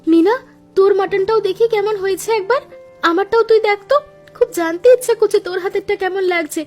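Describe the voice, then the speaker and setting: Cartoon role: a woman playing a brisk young daughter-in-law, high and quick, over soft music. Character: young daughter-in-law, elder sister-in-law, practical cook.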